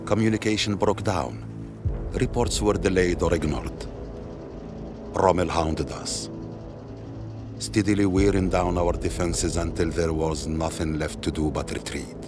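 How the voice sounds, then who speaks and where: British soldier, low voice, somber, measured, war-weary game narration, clear music behind. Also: male voice, fairly even intonation. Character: weary, dispirited British army officer, a father. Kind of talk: reflective scripted game narration.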